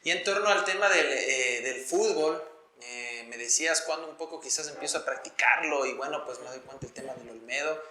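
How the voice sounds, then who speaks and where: A man's voice: medium pitch, a little fast, few pauses, bright, forceful tone, echoey room. An Ecuadorian man speaking as an interview guest, explaining his views and experiences in an earnest, articulate, conversational way. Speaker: interview guest, sports journalist.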